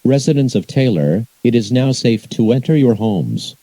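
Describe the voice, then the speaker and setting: Man, emergency alert announcer, very dark mellow tone, dry sound, scripted, formal, impersonal. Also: mid-range voice, very animated, normal speed, no room sound.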